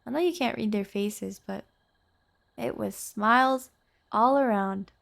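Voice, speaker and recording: Woman playing young girlfriend in audio roleplay, slow unhurried delivery, creaky edges, tender, playful, chatty. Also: a little high. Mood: relieved, warm. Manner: soft, tender, chatty with teasing asides. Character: affectionate young girlfriend raised by ghosts, fond, playful. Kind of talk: intimate audio roleplay acting.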